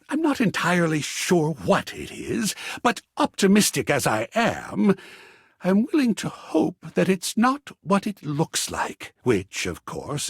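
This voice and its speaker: A man playing a flamboyant, passionate artist in a video game, speaking in a husky, theatrical and florid way. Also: high-pitched, no echo, studio quality, heavily acted. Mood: wry, musing. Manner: theatrical, florid, effusive, swooping between hush and cries. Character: flamboyant, passionate artist, an art object come to life. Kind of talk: video game character acting.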